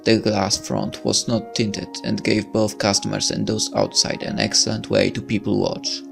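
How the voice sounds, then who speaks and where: A man's voice: lowish voice, level tone, moderate pace, vocal fry, over music. A man reading a visual novel aloud as narration, breaking into casual commentary as a let's player.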